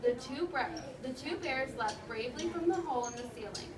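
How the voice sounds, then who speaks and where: A woman's voice: high-pitched, lively intonation, brisk, a crowd in the background. A woman teacher reading a storybook aloud to a class, expressively, with instructive, questioning talk. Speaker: elementary school teacher. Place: indoor classroom. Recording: echoey noisy room, distant mic.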